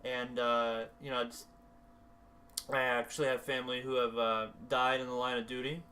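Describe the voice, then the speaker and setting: A man's voice: mid-range voice, flat delivery, moderate pace, bright, forceful tone. A young man from Rhode Island, a podcast guest chatting in a laid-back way, earnest at times, chuckling often. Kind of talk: casual chat.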